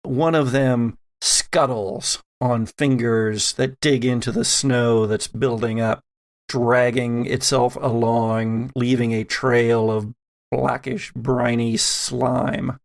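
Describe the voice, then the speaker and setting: A man runs a tabletop horror game in a creaky, mid-pitched voice. He narrates slowly and deliberately, leaving dramatic pauses between phrases. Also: level tone. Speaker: game master.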